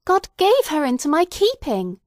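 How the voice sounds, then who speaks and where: A woman's voice: high-pitched, huge pitch swings, normal speed, no echo, clean studio sound, pitched above the performer's own voice. A woman reading the part of a desperate young mother who pleads fiercely, near shrieking, in an audiobook. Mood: defiant.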